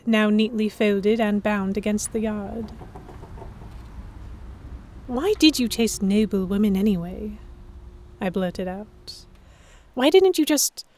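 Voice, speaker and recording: A woman's voice: mid-range voice, a little mellow, no echo. A woman narrating an audio drama in the first person and acting out the dialogue. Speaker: narrator and lead actor.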